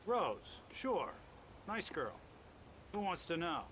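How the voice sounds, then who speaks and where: A folksy American trailer park manager in a game. His voice is fairly high and very animated, plain-spoken and neighborly, over faint background noise. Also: takes it slowly, exaggerated voice. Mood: curious, friendly.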